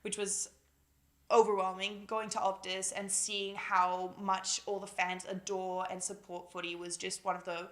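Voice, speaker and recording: A young woman with a Malaysian accent, a newly drafted footballer, chatting excitedly in a casual interview at home, with lots of fillers and giggles. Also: medium pitch, a little slow. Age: young adult. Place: busy house at home.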